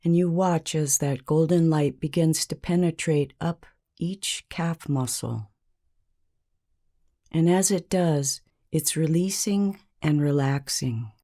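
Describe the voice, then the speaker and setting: A woman narrating a scripted guided meditation in a calm, measured, soothing manner with long pauses. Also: low-pitched.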